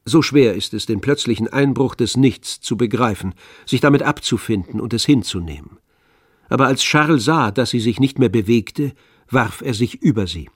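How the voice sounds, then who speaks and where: A man serves as narrator of a literary radio drama. He speaks at a middle pitch, in a measured and grave manner. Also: no echo, studio quality.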